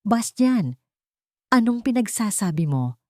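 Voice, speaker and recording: Woman doing a strict old grandma in an audio drama, scolding, pitch jumps up, dry sound. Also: very animated, takes it slowly, no room sound. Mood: angry scolding. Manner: sharp rhetorical questioning. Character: stern, indignant old mother-in-law, traditional and scolding.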